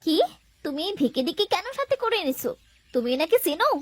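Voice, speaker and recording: A woman voicing an outspoken but caring young housewife in a fairy-tale cartoon, expressive and questioning. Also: very high-pitched, brisk, no room sound. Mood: indignant.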